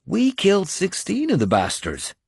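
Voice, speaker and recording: A man voicing a blunt, gruff Irish male warrior with an Irish accent, scolding and coarse. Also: lowish voice, animated, unhurried, no room sound, clean studio sound. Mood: cheerful.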